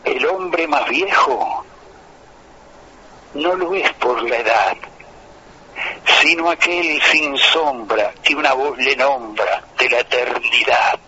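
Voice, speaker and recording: A man calling in to a radio show, reciting poetry slowly and earnestly. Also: mid-range voice, sharp tone. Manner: earnest, lingering on lines. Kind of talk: slow, emotive poetry recitation. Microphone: telephone. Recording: tinny phone line with faint noise.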